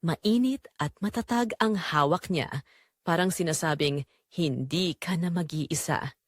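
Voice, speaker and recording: A woman with a Filipino accent narrates a dramatic first-person romance story in a measured, expressive way. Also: lowish voice, no room sound, clean studio sound.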